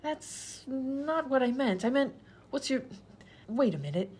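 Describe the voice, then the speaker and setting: Actress as an exasperated, high-voiced woman patient. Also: big pitch swings, unhurried, a little mellow, slightly rough, exaggerated voice. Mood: exasperated, pleading. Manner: pleading, exasperated. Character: exasperated, indignant female hospital patient. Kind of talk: comedy radio sketch acting.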